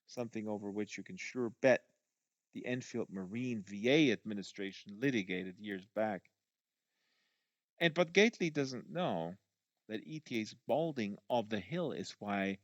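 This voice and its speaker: A man narrates literary prose in a medium-pitched voice, reading aloud as in an audiobook. The sound is dry, with almost no room around it. The recording is a little dull and lo-fi. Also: dry recording. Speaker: audiobook reader, show host.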